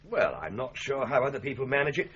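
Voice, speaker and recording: A man's voice: medium pitch, sing-song, fast, a bit bright. An easygoing British office lawyer in a radio drama. He talks casually with plenty of rise and fall. The sound is slightly muffled and hissy. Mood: offhand. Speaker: actor in a radio crime drama.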